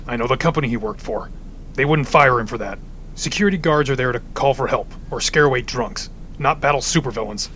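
An actor playing a practical, pun-loving ice supervillain who talks bluntly and dryly in an audio drama. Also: male voice, mid-range voice, a bit bright, slight background noise, natural voice. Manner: dry, blunt, cracks cold puns.

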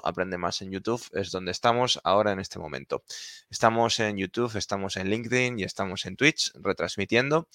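A man's voice: low voice, a bit bright, clear, resonant tone, no echo, studio quality. A man from hosting a live stream on a video call, speaking casually with many fillers and repeated words.

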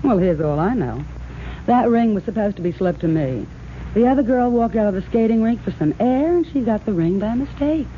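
Woman as a cagey young radio moll, slangy, nervous, medium pitch, thin phone-like old recording. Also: expressive, brisk, slight background noise, lightly acted. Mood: reluctant. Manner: slangy, guarded. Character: evasive, nervous young gangster's girlfriend. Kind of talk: 1940s radio crime drama acting.

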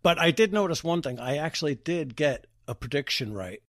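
A man's voice: a little high, expressive, brisk, dry recording, studio quality. A man hosting a podcast, chatting casually in a rambling storytelling way with plenty of swearing. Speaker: podcast host. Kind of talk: casual chat.

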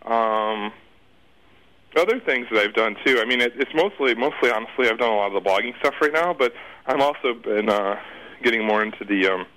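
A man's voice: mid-range voice, a little fast, bright, forceful tone, low-quality recording. A man giving casual interview answers as a guest, informal and rambling in the way he talks.

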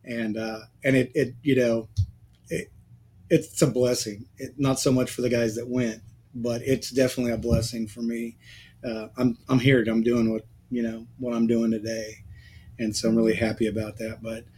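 A man's voice: medium pitch, normal speed, breathy edge. A middle-aged man with an ordinary mid-range voice. He talks casually and loosely, often restarting his sentences. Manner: relaxed, rambling, with restarts. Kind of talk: casual conversation.

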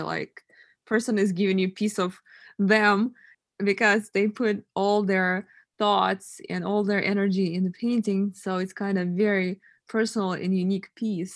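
A woman's voice: medium pitch, no room sound. Ukrainian-accented woman, dry close sound. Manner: non-native grammar, measured and earnest. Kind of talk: casual chat.

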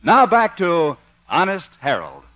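A man's voice: sits high, wildly swooping pitch, a little slow. A man reading scripted announcements as a 1950s radio announcer. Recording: dull old radio recording.

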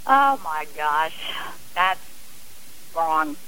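A woman's voice: low-pitched, expressive, very slow, pushed and bright, a little hiss or hum, poor audio. A woman speaking earnestly and emphatically as a guest in a radio interview. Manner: emphatic, impassioned.

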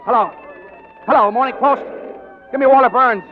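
A cocky young reporter in a 1940s radio play. His high voice is brisk and slangy, always ready with a wisecrack. The recording is a dull old broadcast. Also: slight background noise. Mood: urgent.

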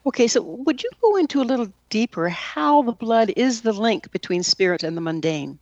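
A woman's voice: a little high, lively intonation, moderate pace, a little mellow, dry recording. A woman hosts an interview show. The recording is dry and close, with little room sound, and somewhat muffled and lo-fi. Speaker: host. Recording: muffled, dull lo-fi audio.

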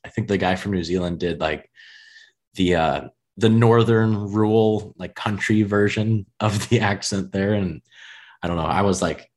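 Man, rural American accent, low voice, easygoing podcast host, casual chat. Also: measured pace, darkish tone. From United States. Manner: easygoing, repeats words.

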